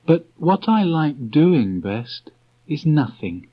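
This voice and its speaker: A man voicing a kindly, earnest young boy in a storybook, speaking gently and simply. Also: a little high, big pitch swings, slow pace, pitched above the performer's own voice, exaggerated voice. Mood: gentle, earnest. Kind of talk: storybook character reading. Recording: dull restored cassette tape.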